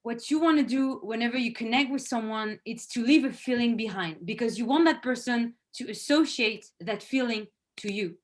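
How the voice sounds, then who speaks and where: A woman's voice: fairly high pitch, moderate pace, few pauses. Earnest woman presenting over video call. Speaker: presenter, head of sales. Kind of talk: virtual presentation. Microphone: video call microphone.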